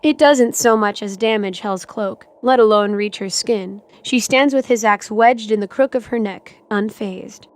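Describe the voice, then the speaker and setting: A woman's voice: mid-range voice, a little slow, hardly any pauses. A woman reading scripted dramatic narration as the narrator of an audio drama.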